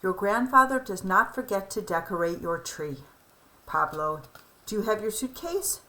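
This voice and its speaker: A woman voicing a gentle, reassuring mother of a young boy in a children's storybook, caring and lightly teasing. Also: mid-range voice, animated, normal speed, low-quality recording.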